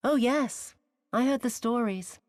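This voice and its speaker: Slow, taunting, cruel woman played by an actress. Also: fairly high pitch, no echo, clean studio sound, natural voice. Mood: mocking. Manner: theatrical, taunting, drawn-out delivery dropping to breathy whispers. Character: cruel, vengeful, sadistic woman, mocking and imperious. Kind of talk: dramatic video game cutscene acting.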